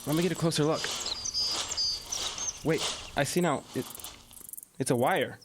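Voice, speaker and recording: An actor playing a mild, low-key teenage boy in an audio drama, with an understated, hesitant manner. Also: unhurried, background noise, natural voice. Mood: alert, uneasy.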